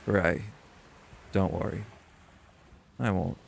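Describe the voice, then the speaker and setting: Male actor as subdued shapeshifter boyfriend for audio roleplay, flat intonation, unhurried pace, hesitant with dry humour. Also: low-pitched, vocal fry, a little hiss or hum, exaggerated voice. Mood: dry, agreeable. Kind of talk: romantic audio roleplay acting.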